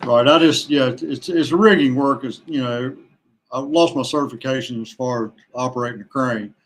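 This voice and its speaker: Older man, halting casual talk. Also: medium pitch, low-quality recording. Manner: halting, repeats words. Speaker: podcast guest, kayak angler. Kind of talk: casual conversational interview answers.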